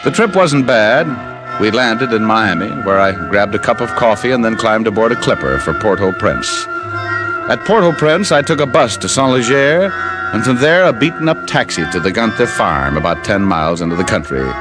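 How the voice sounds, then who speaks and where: A man's voice: fairly low pitch, lively intonation, normal speed, close to an everyday voice. An actor playing a wry, tough, hard-boiled private detective in an old radio drama, wisecracking and narrating his case in clipped phrases. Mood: narrating, tense. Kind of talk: 1940s-50s radio detective acting and first-person narration. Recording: old radio recording, music behind.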